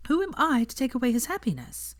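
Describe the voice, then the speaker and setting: A woman's voice: sits high, wildly swooping pitch, fast talker, voice pushed up. A woman reading the part of an earnest, prim young woman who speaks articulately. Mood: chatty.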